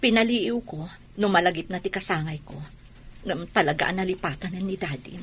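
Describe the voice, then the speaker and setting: A woman's voice: fairly low pitch, brisk, a bit bright, a little hiss or hum, close to an everyday voice. A woman with an Ilocano accent playing a wife and mother in a radio drama. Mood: sad. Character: hurt wife and mother on her birthday. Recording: light music underneath.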